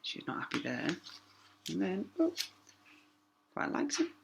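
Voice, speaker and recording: A man's voice: high voice, wildly swooping pitch, measured pace, vocal fry, noisy background. A man narrating a children's story expressively as a teacher, with small asides to young listeners.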